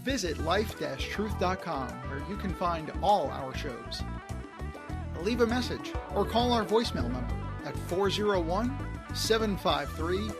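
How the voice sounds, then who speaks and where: Female announcer for scripted podcast outro, mid-range voice, over prominent guitar music. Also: mid-range voice. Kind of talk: scripted credits read. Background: plucked guitar music.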